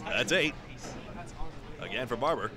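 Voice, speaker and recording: A man's voice: mid-range voice, lively intonation, unhurried, over music. A man with a New England accent giving chatty, joking analysis during a live candlepin bowling broadcast. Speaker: color commentator. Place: bowling alley. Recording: crowd noise behind.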